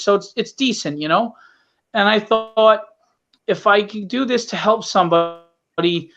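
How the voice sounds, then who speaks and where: High-voiced man, earnest and blunt. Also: a bit bright. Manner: earnest, blunt, salty language. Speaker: guest, independent comic writer. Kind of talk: casual, opinionated podcast talk. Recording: dull, lo-fi online call audio.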